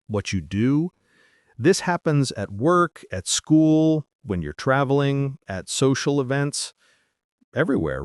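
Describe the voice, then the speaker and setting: A man hosting an English-learning podcast, speaking upbeat and clearly, slowly spelling out phrases for listeners to repeat. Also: medium pitch, no room sound, studio quality. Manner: upbeat, clear, slow and deliberate for repetition. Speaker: podcast host and English teacher. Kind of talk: scripted English lesson.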